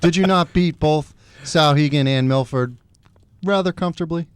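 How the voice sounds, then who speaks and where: A man's voice: on the high side, normal speed, clean tone, dry recording. A man hosting a sports radio show from the studio, chatty and wry with chuckling asides. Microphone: studio microphone.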